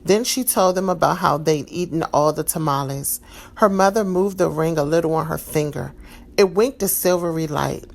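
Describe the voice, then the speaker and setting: Low, gravelly woman reading a storybook. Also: normal speed. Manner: calm, expressive read-aloud. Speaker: storybook reader. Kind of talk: children's storybook read-aloud.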